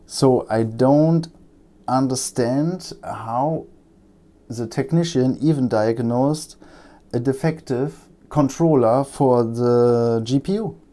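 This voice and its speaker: A man's voice: mid-range voice, warm tone. A German man with a German accent, talking casually through a laptop repair, with frequent ums and uhs as he works at his bench. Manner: halting, many ums and uhs. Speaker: repair technician. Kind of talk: casual repair vlog talk.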